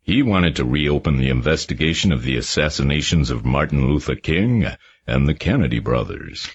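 A man's voice: extremely low pitch, sing-song, moderate pace, breathy edge, muffled recording, voice pushed down, full character voice. A man reading an audiobook and voicing a wary, educated man who speaks with a careful put-on Harvard polish.

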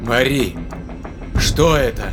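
An actor playing a stern, stubborn but shaken starship captain in an audio drama, with a commanding, terse, intense delivery. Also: male voice, mid-range voice, slow pace, close to an everyday voice. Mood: bewildered. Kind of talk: dramatic audio play acting. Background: clear background music.

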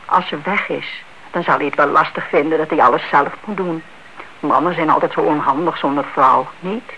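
An actress playing a nosy, suspicious older aunt, chatty and insistent, in a radio play. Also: female voice, deep voice, moderate pace, a bit bright. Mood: scheming, curious. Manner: chatty, insistent, inquisitive, repeats herself. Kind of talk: Dutch radio play acting. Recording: dull, noisy old radio-play recording.

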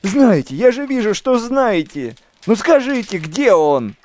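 A desperate, bewildered soldier of the war in an audio play. His voice is fairly high and very animated, plaintive and repetitive as he pleads. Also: a little slow. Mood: desperate pleading. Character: frantic, lost, pleading army lieutenant, wartime ghost. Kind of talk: audio drama acting.

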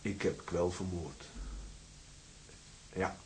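A man's voice: deep voice, steady tone, extremely slow, a little mellow. A man acting in a Dutch radio drama as an embittered, hot-tempered sea captain who broods and flares up. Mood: anguished, remorseful. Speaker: actor. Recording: hissy, noisy old radio recording.

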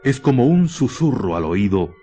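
A Chilean man narrating a scripted radio drama in a solemn, literary manner with measured phrasing. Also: medium pitch, very animated, mellow. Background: music.

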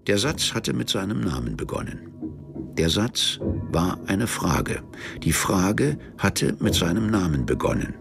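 A man narrating a literary radio drama in a measured, detached and deliberate manner. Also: low voice, big pitch swings, creaky, exaggerated voice. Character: detached literary narrator of a drugged man's ordeal. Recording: music under the voice.

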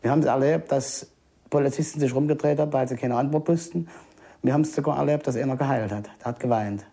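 A man's voice: medium pitch, fast talker, vocal fry, mellow. A man gives an eyewitness account in short, plain, emphatic statements. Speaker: eyewitness interviewee. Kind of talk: spoken recollection.

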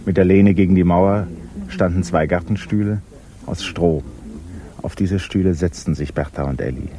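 An actor narrating a 1960s radio play in a measured, literary manner. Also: male voice, low voice, a crowd in the background, natural voice. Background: music.

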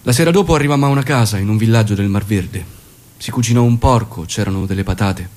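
An actor playing a commanding, shrewd guerrilla leader in a stage drama, speaking in a measured, authoritative way. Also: male voice, on the low side, a little mellow, close to an everyday voice. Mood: grave, reflective. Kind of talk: theatrical dramatic acting and monologue.

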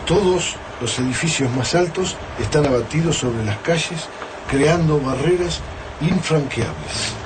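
An actor playing an earnest, inquisitive historian among soldiers in a radio drama. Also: male voice, sing-song, measured pace. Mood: concerned. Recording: noisy rumbling ambience behind dialogue.

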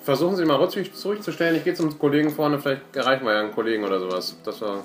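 A man's voice: mid-range voice, very fast, soft background music. A man chatting casually and improvising as a player in a tabletop role-playing game. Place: indoor room at a game table. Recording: echoey room with faint noise.

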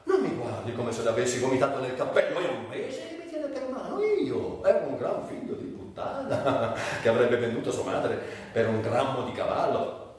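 A man's voice: mid-range voice, huge pitch swings, moderate pace. A volatile, crude ex-junkie in a stage monologue. His voice swoops wildly between mutters and shrieks, ranting and wheedling. He sounds set back from the mic in a very reverberant space, with faint noise. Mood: fawning, agitated. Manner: erratic, swinging from muttering to shrieking. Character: volatile, foul-mouthed former addict, ranting and wheedling. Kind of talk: theatrical dramatic monologue. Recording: echoey, distant, faint background noise.

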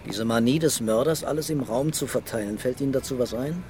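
An actor playing a measured, terse police commissioner leading a murder inquiry in a German radio crime drama. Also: male voice, medium pitch, darkish tone, a little hiss or hum, close to an everyday voice. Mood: businesslike.

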